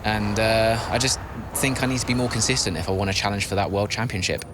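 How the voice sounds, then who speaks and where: An actor playing a guarded, self-critical young racing driver, hesitant and measured, with faint vehicle noise behind. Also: male voice, fairly low pitch, steady tone, lightly acted. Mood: guarded, reflective. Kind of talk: naturalistic game acting of a media interview.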